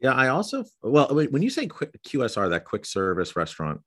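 A man hosting a podcast, asking questions with curious enthusiasm and a halting, filler-heavy way of talking. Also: mid-range voice, huge pitch swings, a bit bright. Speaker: podcast host. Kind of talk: casual conversational interview.

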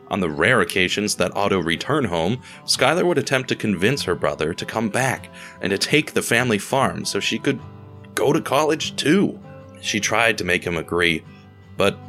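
Bright-voiced man narrating a dramatic story. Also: lowish voice.

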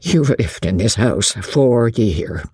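A woman's voice: rumbling low, animated, slow pace, warm tone, breathy edge, no echo, studio quality, pitched below the performer's own voice, heavily acted. A woman voicing a woeful, honest male tradesman lamenting in an audiobook, with a working-class English accent. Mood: aggrieved, lamenting. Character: woeful, aggrieved, honest tradesman and creditor, adult man.

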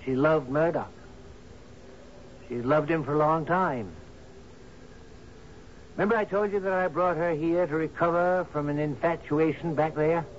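A man playing troubled loyal friend, deferential, slow delivery, radio drama, muffled old recording. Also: fairly high pitch, natural voice. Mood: sorrowful, grave. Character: anguished, deferential friend confessing to his sea captain. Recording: dull, noisy old radio broadcast.

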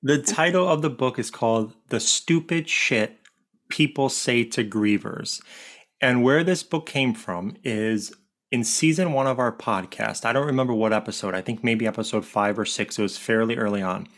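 A man tells stories on a podcast in an easygoing, chatty way. His voice sits at a middle pitch, and he laughs easily as he goes. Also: moderate pace. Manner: relaxed storytelling, laughs easily. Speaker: grief coach and co-author guest. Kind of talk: casual podcast interview.

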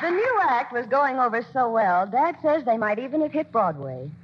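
A woman's voice: a little high, very animated, moderate pace, heavy background noise. An actress narrating as a grown daughter fondly recalling her parents in a 1940s radio play, with wry storytelling, on a dull old broadcast.

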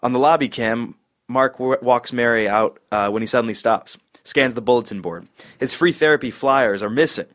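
A man's voice: medium pitch, fairly bright tone. A man narrating stage directions at a comedy table read in a dry, clipped way.

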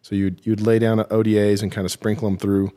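A man being interviewed as a military veteran, telling his story haltingly with self-deprecating, dry humour. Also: on the low side, little pitch movement, warm tone. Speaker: podcast guest, military veteran.

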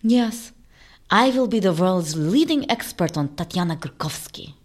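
A woman's voice: on the low side, animated, measured pace. A woman playing a vain, theatrical Russian escapologist in an audio drama, grandiose and full of herself. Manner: grandiose, self-admiring.

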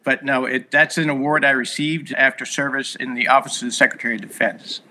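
Bright-toned man in his 60s, earnestly expansive. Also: medium pitch, steady tone, fairly quick, rough recording. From United States. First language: Hungarian. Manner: earnest, expansive storyteller with many fillers. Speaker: guest. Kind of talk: podcast interview.

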